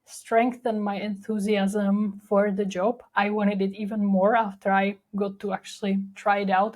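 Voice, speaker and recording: A woman's voice: mid-range voice, darkish tone. A young woman with a Czech accent, hosting a podcast and telling her own story in a candid, reflective way with frequent fillers. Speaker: podcast host. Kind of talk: personal storytelling monologue.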